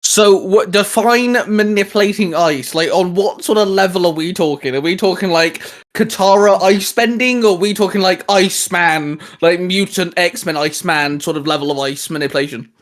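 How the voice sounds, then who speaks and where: A man's voice: high voice, normal speed, hardly any pauses. A man hosting an informal geeky discussion, thinking aloud and asking questions conversationally. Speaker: channel host. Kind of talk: casual geek discussion.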